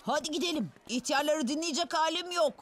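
A woman's voice: high-pitched, fairly quick, slight background noise, lightly acted. A woman voicing a cheeky, impatient ten-year-old boy with a brash manner in a dubbed cartoon. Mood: dismissive, uneasy. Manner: brash, dismissive. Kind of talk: animated series dub acting.

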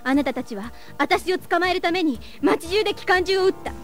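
Defiant, fast, high-voiced schoolgirl. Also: lively intonation, soft background music. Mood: indignant. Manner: indignant, forthright. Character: defiant, sharp-tongued high school girl with hidden powers. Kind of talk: dramatic audio drama acting.

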